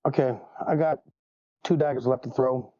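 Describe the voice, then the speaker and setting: A man's voice: medium pitch, fairly even intonation, normal speed, dry recording. A man playing casually in a tabletop fantasy game, heard through a dull microphone. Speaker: player.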